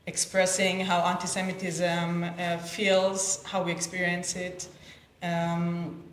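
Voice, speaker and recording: Halting, even-toned woman in an echoey room. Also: lowish voice, slight background noise. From Israel. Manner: halting, with many pauses and hesitations. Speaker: peace activist panelist. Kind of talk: personal testimony at a panel discussion. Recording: roomy, echoing event space.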